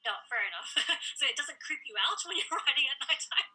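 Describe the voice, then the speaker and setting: Bright, higher-pitched bubbly Australian woman host. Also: animated, fairly quick, low-quality recording. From New South Wales, Australia. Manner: bubbly, playful. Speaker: interview host. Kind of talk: casual, chatty online interview.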